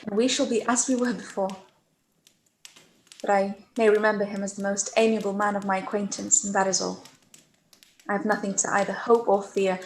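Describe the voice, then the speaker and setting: A woman playing a gentle, resigned young gentlewoman in a period radio play, soft and restrained, recorded in an echoey room. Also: mid-range voice, natural voice. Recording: echoey room, faint noise, clicks.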